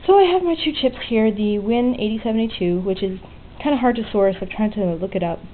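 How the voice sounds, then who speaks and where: A woman's voice: mid-range voice, brisk. Woman in phone-like lo-fi audio, casually explaining. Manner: informal, frank, explanatory. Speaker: DIY electronics hobbyist presenting a tutorial. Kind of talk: casual unscripted hands-on demonstration. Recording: muffled phone-like lo-fi audio with faint noise.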